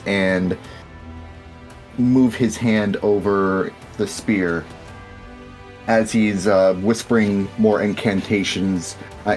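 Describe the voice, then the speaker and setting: Guy at a dnd table, kinda deep, takes his time, mutters and hesitates while describing his moves. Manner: hesitant, muttering to himself as he works things out. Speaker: player in a tabletop roleplaying game. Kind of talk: casual game-table narration.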